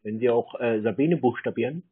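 Husky, deadpan man on a phone line. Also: mid-range voice. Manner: deadpan, feigns slow-witted confusion. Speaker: prank call recipient stringing a caller along. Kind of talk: casual phone conversation. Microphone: telephone. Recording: muffled, narrow phone line.

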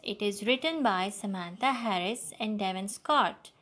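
A woman's voice: mid-range voice, animated, a little slow, a bit bright. A woman reading a picture book aloud for young children. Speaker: children's story reader. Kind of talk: slow storybook read-aloud.

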